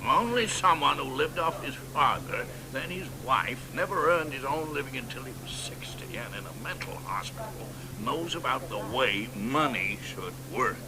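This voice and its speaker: An actor playing a boastful, crude old American poet in a radio drama, drawling and dropping into hoarse whispers. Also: male voice, mid-range voice, lively intonation, moderate pace, hardly any pauses, noisy background, close to an everyday voice. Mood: sardonic.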